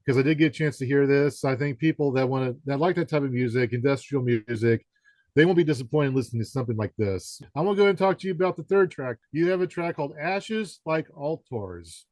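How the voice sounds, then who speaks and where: A man's voice: a little high, fairly quick, darkish tone, dry recording. A man hosting a conversational music interview, offering guesses in rambling, hesitant phrasing. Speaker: podcast interviewer.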